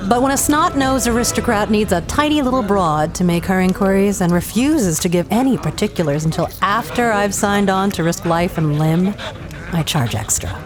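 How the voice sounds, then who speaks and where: Actress as sardonic adventuress for hire, tough-talking, blunt, 1930s adventure radio play, music behind. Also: female voice, medium pitch, expressive, moderate pace, natural voice. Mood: blunt, haggling. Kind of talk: 1930s adventure audio drama acting.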